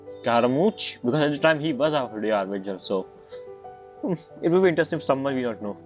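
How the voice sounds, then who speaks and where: A man's voice: medium pitch, expressive, a little mellow. Casual male gamer, muffled sound. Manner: rambling, halting, non-idiomatic phrasing. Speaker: gaming streamer. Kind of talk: casual game playthrough commentary. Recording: muffled, game music behind.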